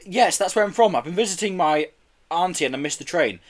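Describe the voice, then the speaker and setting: A man voicing an anxious, quick-thinking boy in a children's story, animated and urgent. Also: high-pitched, pitched above the performer's own voice. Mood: polite.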